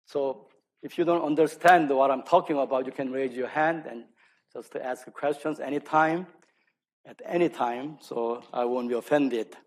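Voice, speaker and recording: Male lecturer, native Korean speaker with Korean accent. Medium pitch, halting delivery, self-deprecating academic talk. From South Korea. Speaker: lecturer, archaeology scholar. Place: speaking live to an audience at an event.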